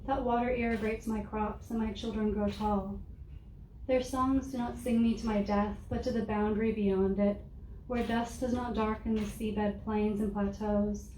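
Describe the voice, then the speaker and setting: A soft-spoken woman reading her own poems aloud in a measured, deliberate way to a live audience. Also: medium pitch. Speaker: poet reading her work. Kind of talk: poetry reading. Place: room with an audience. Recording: roomy, distant mic with faint noise.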